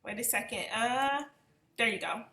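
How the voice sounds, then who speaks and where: A woman's voice: a little high, normal speed, pushed and bright, reverberant. A woman hosting a wine class on a video call, talking in an upbeat, chatty way. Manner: chatty, upbeat, many fillers. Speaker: host.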